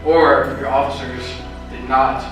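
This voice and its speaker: A man's voice: medium pitch, level tone, takes it slowly, over music. A man making a formal, argumentative comment from the audience at a public meeting. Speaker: military veteran questioning the official. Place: community center meeting room. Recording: echoey hall, far from the mic.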